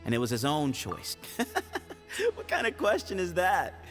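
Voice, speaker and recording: Sly, breezy young desert host, video game. Mid pitch, very animated, music behind. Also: male voice, a little slow. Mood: teasing, evasive. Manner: teasing, breezy, chuckling. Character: playful, evasive young desert host, cocky and easygoing. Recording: reverberant hall effect, music underneath.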